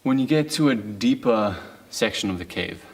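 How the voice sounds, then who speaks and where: A man giving informal instruction as a caver, in halting phrases that trail off. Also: on the low side, animated.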